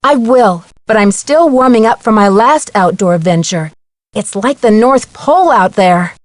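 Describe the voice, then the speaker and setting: Young female amateur sleuth, inquisitive and sincere, high-pitched, close dry game recording. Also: expressive, measured pace, no room sound. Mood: earnest, curious. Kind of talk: video game voice acting.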